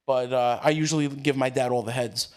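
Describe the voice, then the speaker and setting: A man's voice: medium pitch, steady tone, brisk, clean tone. An Italian-American man co-hosting a casual chat, argumentative, swearing casually and laughing often. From United States. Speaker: podcast co-host.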